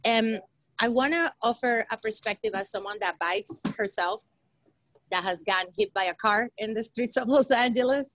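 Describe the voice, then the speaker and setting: A woman's voice: a little high, moderate pace, fairly bright tone, dry recording. Young Colombian-born woman, dry close sound. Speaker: panelist. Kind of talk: panel discussion remarks. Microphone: earbud headset on a video call.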